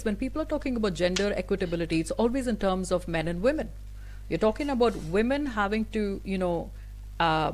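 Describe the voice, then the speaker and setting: Woman, Tamil first language, middle pitch; earnest, reflective interview guest with many restarts. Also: rough recording. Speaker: guest speaker. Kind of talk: conversational interview answer.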